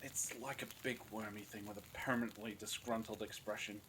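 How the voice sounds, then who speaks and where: A man's voice: lowish voice, fairly even intonation, brisk, background noise, natural voice. A man playing a grumpy, sarcastic captive with a cutting manner in an audio drama. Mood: exasperated. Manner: scornful, irritable, cutting. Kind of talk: fan audio drama acting.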